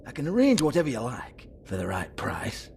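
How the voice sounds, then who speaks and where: An actor playing a sleazy, foul-mouthed strip club owner with a British accent in a video game, mocking and volatile. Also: male voice, mid-range voice, huge pitch swings, normal speed, creaky, a little mellow, a little hiss or hum. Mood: sly, insinuating. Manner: sneering, taunting, swearing.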